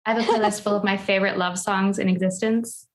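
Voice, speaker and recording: A woman's voice: medium pitch, steady tone, fast talker, a crowd in the background. A woman talking casually on a book club podcast, enthusiastic and playful.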